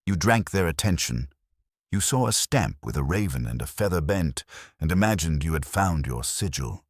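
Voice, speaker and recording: A man's voice: rumbling low, lively intonation, moderate pace, dry recording, studio quality. A man voicing an imperious, cerebral detective in an audiobook, pompous, formal and commanding as he passes cold judgement. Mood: stern, judging.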